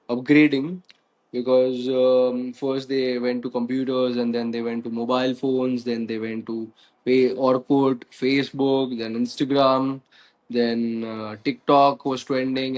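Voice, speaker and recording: A man's voice: medium pitch, a little mellow, rough recording. A man speaking steadily as a panel guest, listing examples. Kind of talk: panel discussion.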